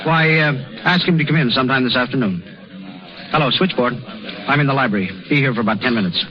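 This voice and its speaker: A man's voice: mid-range voice, fast talker, natural voice. A man playing an eager, respectful young hospital doctor in a 1930s radio drama, earnest and polite. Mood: businesslike. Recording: dull, noisy 1930s radio recording.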